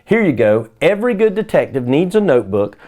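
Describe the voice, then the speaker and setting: A man voicing a kindly, patient grandfather who gives gentle advice in a children's storybook. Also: on the high side, sing-song, brisk. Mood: encouraging.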